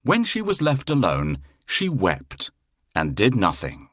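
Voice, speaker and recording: A male storyteller reading a fairy tale to children with theatrical expression. His lowish voice swings widely in melody and moves very slowly, in a dry recording. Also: huge pitch swings, a bit bright, slightly rough, dry recording.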